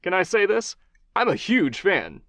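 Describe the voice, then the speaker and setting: A man voicing a charming, stylish male talk-show host who jokes and flatters his guest. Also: sits high, a little slow, no echo, voice pushed up, full character voice. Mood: jovial. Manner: jovial, chummy, playful.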